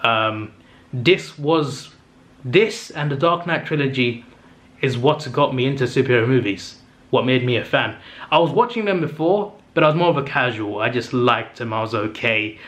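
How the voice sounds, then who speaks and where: Young guy rambling excitedly about his fave films, lots of ums and restarts, voice getting a bit worn, plain middle pitch. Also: normal speed. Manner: chatty and enthusiastic, with frequent fillers and self-corrections. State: losing his voice. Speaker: movie review channel host. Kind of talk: casual ranking monologue to camera.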